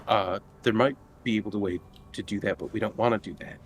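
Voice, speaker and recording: A man's voice: fairly low pitch, steady tone, quick pace, a little hiss or hum. A man playing a tabletop roleplaying game, moving between casual game talk and speaking for his character.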